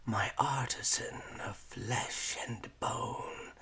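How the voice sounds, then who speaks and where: A man's voice: mid-range voice, drawn-out words, sharp tone, voice pushed up, full character voice. A man voicing an enigmatic ghostly master in a silken whisper. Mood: eerie.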